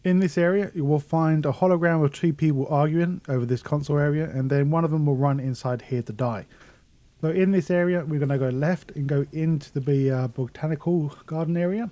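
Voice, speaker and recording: A man's voice: on the high side, fairly quick, dark tone. A man giving a casual video game walkthrough as a guide narrator.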